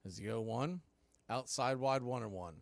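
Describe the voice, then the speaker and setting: A man's voice: medium pitch, normal speed, vocal fry, no echo. Man calling baseball play-by-play. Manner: conversational, rising on big plays. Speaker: play-by-play announcer. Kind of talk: radio play-by-play commentary.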